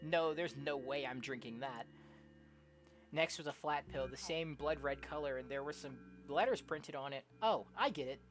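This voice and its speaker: A woman streamer doing a let's-play, reading visual novel text aloud with casual, slangy, expressive delivery. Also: a bass voice, normal speed. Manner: expressive, often giggly, slangy. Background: game music.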